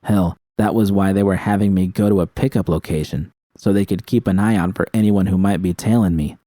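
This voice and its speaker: A man reading first-person fiction as an audiobook, with tense, expressive dramatic storytelling. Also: low-pitched, brisk, no room sound, clean studio sound.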